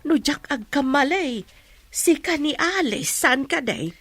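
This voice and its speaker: High-pitched kindly older woman. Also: lively intonation, slow pace, a bit bright, soft background music, exaggerated voice. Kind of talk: radio drama acting.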